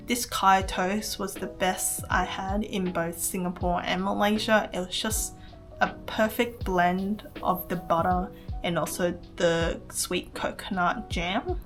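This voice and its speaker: Young woman narrating a travel vlog. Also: mid-range voice. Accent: American. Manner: earnest, recommending, reads list items. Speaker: travel vlogger. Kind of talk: scripted voice-over narration.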